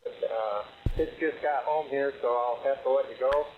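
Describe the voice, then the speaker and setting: A man's voice: medium pitch, moderate pace. A man new to amateur radio, making brief casual exchanges over a radio link. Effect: received over shortwave radio. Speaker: beginner amateur radio operator. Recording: narrow-band radio signal, clear static.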